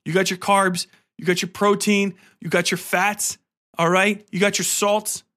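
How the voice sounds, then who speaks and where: A man's voice: high-pitched, little pitch movement, a little slow, bright, forceful tone. A man with an American accent hosting a podcast in a casual comedic rant, slangy, swearing freely and emphatic with exasperation. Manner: slangy, conversational. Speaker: podcast host.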